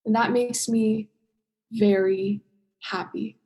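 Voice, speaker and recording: A woman's voice: mid-range voice, steady tone, slow, a little mellow. A young woman acting an earnest, principled diver in a student radio play. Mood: firm, resolute. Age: young adult.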